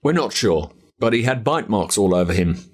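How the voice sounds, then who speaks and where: A man's voice: lowish voice, lively intonation, moderate pace, breathy edge, voice pushed down. Man playing a grave, plain-spoken Australian dad. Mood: sombre. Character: sombre Australian dad breaking bad news to son.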